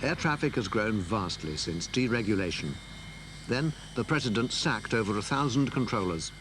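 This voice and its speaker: A man's voice: lowish voice, lively intonation, normal speed. Man narrating a documentary, noisy backdrop.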